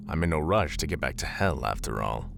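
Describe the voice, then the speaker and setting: A man's voice: a bass voice, expressive, brisk, creaky, fairly bright tone, a little hiss or hum, full character voice. A man playing an arrogant demon prince in a roleplay drama, dry, haughty and sardonic. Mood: haughty, irritated. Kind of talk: Minecraft roleplay voice acting.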